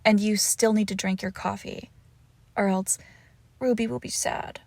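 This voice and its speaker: Woman doing a possessive lonely witch asmr thing, slow and breathy w lots of whispering, bit of creaky fry. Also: medium pitch, fairly even intonation, dry recording, close to an everyday voice. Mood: shy, playful. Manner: soft, breathy, often slipping into whispers, tender cooing. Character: lovesick possessive forest witch, doting, lonely, clingy. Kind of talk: intimate ASMR roleplay, scripted monologue.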